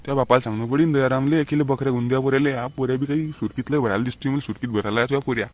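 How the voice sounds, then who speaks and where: A man's voice: mid-range voice, fairly even intonation, rapid-fire, natural voice. A man playing a scolding, stingy, unschooled village father in a comic cartoon, with a rural Maharashtrian accent. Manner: blustering, scolding.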